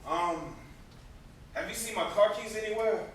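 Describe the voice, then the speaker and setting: A man's voice: high voice, measured pace. A man acting on stage as a teasing but protective older brother, with a sarcastic, needling, theatrical delivery. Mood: casual, coaxing. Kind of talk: amateur stage play acting. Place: indoor stage, recorded from afar. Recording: echoey stage, distant noisy camera microphone.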